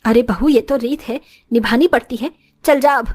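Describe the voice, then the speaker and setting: A woman's voice: a little high, moderate pace, darkish tone, close to an everyday voice. A woman voicing a grumbling middle-aged mother-in-law in a moral story cartoon, full of exclamations and complaints. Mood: placating.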